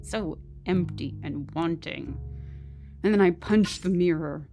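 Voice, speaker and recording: A brooding, insecure narrator of an audio ghost story. Her voice is lowish and soft-edged, dark in tone, and she confides bitterly and intimately over musical underscore. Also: takes it slowly. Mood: tense, bitter. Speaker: narrator and lead character of a horror audio drama. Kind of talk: dramatic first-person ghost story monologue. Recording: music behind the voice.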